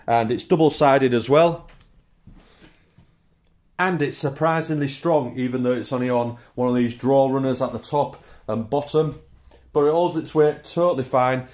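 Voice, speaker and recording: A British man in his thirties, chatty and relaxed, giving a casual tour of his small indoor woodworking workshop, recorded on the camera mic. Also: medium pitch. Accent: British English. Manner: relaxed, chatty, lists tools in short phrases. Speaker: woodworking channel host.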